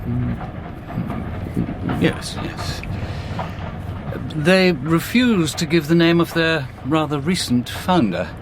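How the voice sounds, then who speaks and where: Opinionated period police inspector for radio drama. Slightly high voice, confident, clear noise behind. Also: male voice, expressive, drawn-out words, exaggerated voice. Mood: opinionated, assured. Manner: hesitant hums, assertive pronouncements.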